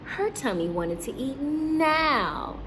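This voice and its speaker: A woman's voice: high voice, big pitch swings, slow pace, noisy background. A woman teacher reading a story aloud to young children, breaking off to question and explain things to her listeners.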